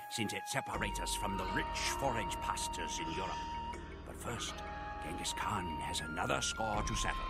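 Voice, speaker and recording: A man playing a proud, ruthless Mongol warrior, gravely narrating his horde's conquests as a scripted game voice-over. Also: on the low side. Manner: grave, storytelling, relishing conquest. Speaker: campaign narrator. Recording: game cutscene voice over music. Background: music.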